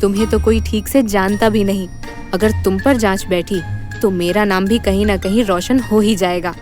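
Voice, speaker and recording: A woman's voice: mid-range voice, fairly even intonation, normal speed, darkish tone, natural voice. A resolute woman spy in a romantic audio drama played by an actress, torn between love and duty. Faint music plays under her voice. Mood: resolute, guarded.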